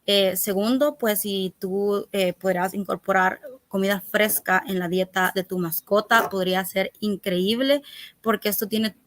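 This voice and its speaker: A woman's voice: mid-range voice, fairly even intonation, a little slow, no room sound. Woman, pet health coach; easygoing explainer, dry close mic. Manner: many fillers, gentle explaining tone. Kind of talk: informal webinar talk.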